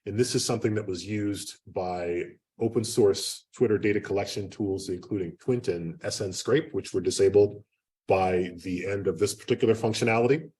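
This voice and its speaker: A man giving an unscripted academic lecture in a loud, projecting voice, talking conversationally with lots of fillers and restarts. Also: lowish voice, moderate pace. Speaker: guest lecturer. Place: lecture room. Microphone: speaking away from the microphone. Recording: distant room mic.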